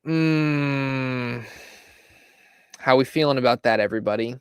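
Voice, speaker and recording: Hoarse male live-stream host, casual and chatty, mid-range pitch, dry close mic. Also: unhurried, no room sound. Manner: chatty, laughs between lines, reads out viewer comments. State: hoarse, losing his voice. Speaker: fan show host. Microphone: desk microphone.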